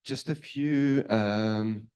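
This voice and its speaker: Man moderator, low mellow voice, polite, brief summaries, conference panel. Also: extremely slow, clear, resonant tone. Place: conference hall.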